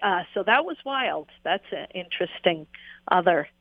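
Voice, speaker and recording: A woman's voice: lowish voice, lively intonation, normal speed. A woman and former jockey, telling stories in a rambling, casual interview with frequent laughs and fillers. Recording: tinny phone line.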